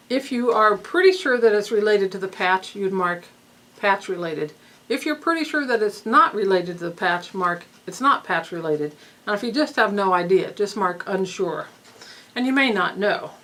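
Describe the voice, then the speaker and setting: A woman's voice: mid-range voice, fairly bright tone, low-quality recording. A woman coordinating a research study, patiently explaining participant forms step by step, slightly stuffy-nosed, with occasional ums.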